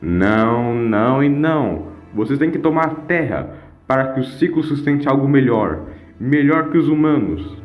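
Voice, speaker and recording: A man's voice: medium pitch, animated, normal speed, highs cut off, pitched above the performer's own voice, close to an everyday voice. A man voicing a manipulative wanderer villain in an audio story, goading and dismissive in his delivery. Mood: angry. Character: scheming male wanderer villain, insistent, manipulative. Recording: echoing voice over music.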